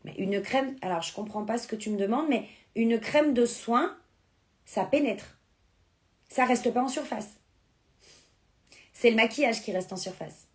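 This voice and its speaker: A woman's voice: a little high, a little slow, pauses often. A woman whose first language is Spanish, chatting casually on a live stream as she sells beauty products and answers viewers' comments. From born in France, Spanish family.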